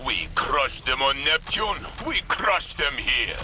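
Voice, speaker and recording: A man acting a brash, excitable soldier on a field mission in a video game. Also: mid-range voice, takes it slowly, a little hiss or hum, heavily acted. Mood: confident.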